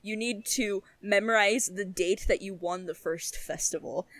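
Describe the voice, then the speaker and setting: A woman's voice: mid-range voice, a little slow, dry recording. A young adult woman co-hosting a casual chat with a teasing, giggly, emphatic way of talking. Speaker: podcast co-host.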